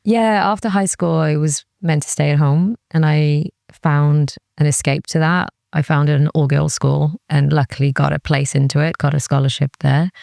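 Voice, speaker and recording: Candid woman from Wales, creaky low-key delivery. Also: steady tone, normal speed, few pauses, darkish tone, no echo, clean studio sound. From Cardiff, Wales. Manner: candid, serious, peppered with "like" and quoted inner thoughts. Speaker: interview guest, music industry executive. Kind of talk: reflective personal interview conversation.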